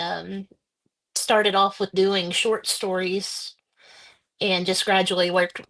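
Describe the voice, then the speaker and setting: A woman author being interviewed, giving thoughtful, halting answers with many pauses. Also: medium pitch, fairly bright tone, rough recording. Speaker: guest author.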